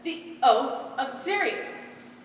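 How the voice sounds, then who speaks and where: Young woman acting stern high-voiced magistrate, echoey hall. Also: lively intonation, slow. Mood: officious. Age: teenager. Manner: declamatory, authoritarian. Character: stern humorless female magistrate in uniform. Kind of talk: high school stage play acting. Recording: echoey school stage hall, distant mic, faint noise.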